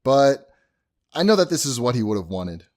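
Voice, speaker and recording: A man plays an anxious young groom in an audio drama. His medium-pitched voice moves with lively inflection. He is earnest and a bit exasperated. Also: normal speed, close to an everyday voice. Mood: nervous, wry. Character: anxious, earnest young groom who runs a funeral home.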